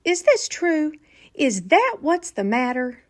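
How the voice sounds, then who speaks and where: Woman playing a patient, animated schoolteacher. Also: high-pitched, voice pushed up, exaggerated voice. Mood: puzzled, questioning. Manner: patient explaining, deliberate. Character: gentle, reasoning female primary school teacher.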